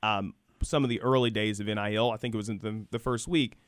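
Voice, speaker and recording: A man's voice: on the low side, fairly even intonation, quick pace, smooth, no room sound, studio quality. A man speaking as a guest in a live radio interview, explaining things conversationally, often checking with "right?" and voicing imagined dialogue to make a point. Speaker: radio show guest, university program director.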